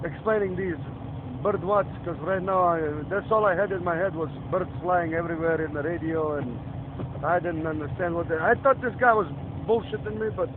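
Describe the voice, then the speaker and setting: A man's voice: high voice, a little fast, close to an everyday voice. A man playing a chatty, confused, overly friendly trucker with an Indian accent, rambling casually on a phone call. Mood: eager, friendly. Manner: rambling, effusive, repeatedly calls listener friend and man. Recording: tinny phone line, loud vehicle noise. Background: vehicle engine noise.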